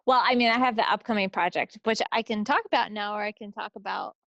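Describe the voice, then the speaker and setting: A woman's voice: mid-range voice, steady tone, fast talker, pushed and bright, dry recording. Woman, podcast co-host, casual chat, dry close sound, slightly lo-fi. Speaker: co-host of a knitting video podcast.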